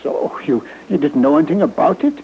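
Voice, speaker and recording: A man's voice: a little high, animated, a little fast, creaky. An actor playing a fussy, obliging foreign-sounding shopkeeper in old radio style, hesitant and repeating his words. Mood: apologetic, puzzled. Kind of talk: old-time radio suspense acting. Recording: muffled, noisy old radio broadcast.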